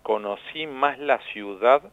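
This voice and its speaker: A man from La Plata with a Rioplatense accent, a journalist and author, explaining history in a measured, storytelling way as a radio guest. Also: mid-range voice, no echo. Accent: Rioplatense Spanish. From La Plata, Argentina. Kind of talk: phone-in radio interview. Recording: dry tinny phone line.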